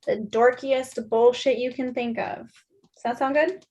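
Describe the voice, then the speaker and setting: A woman's voice: a little high, steady tone, a little slow, a little mellow. A woman acting a breezy, coaxing young roommate with casual chatter in a naturalistic stage play reading. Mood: coaxing, upbeat.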